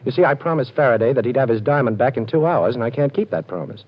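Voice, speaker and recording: An actor playing a cool, wisecracking ex-con detective in a 1940s radio drama, talking in quick, breezy wisecracks. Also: male voice, mid-range voice, lightly acted. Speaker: lead detective. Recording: dull lo-fi old radio with faint hiss.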